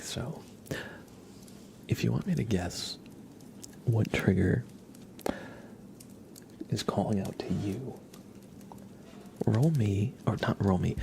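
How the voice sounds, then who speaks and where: A man hosting an ASMR livestream, chatting casually and softly to viewers in an unhurried way, close to the microphone. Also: on the low side, vocal fry, background noise. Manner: soft-spoken, mostly whispering, unhurried.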